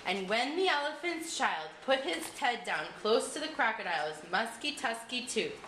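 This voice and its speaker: A woman narrating a scripted storybook tale in an expressive, deliberate way, recorded in an echoey room with faint noise. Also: on the high side.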